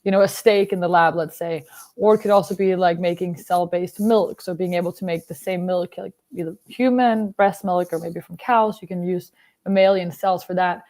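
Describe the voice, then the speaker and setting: A young woman from Denmark, a biotech startup founder, answering interview questions in a relaxed, explanatory way, full of fillers. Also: lowish voice, normal speed. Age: young adult.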